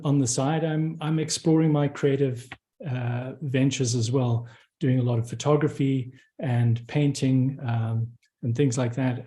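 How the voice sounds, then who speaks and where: A man's voice: medium pitch, mellow. A middle-aged man with a South African accent, introducing himself as a guest on an online panel. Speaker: photographer guest. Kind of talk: self-introduction in a panel talk.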